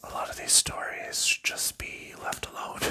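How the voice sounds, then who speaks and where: A man chatting softly in a whisper for an ASMR video, rambling and chuckling lightly now and then. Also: a little slow. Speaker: ASMR creator.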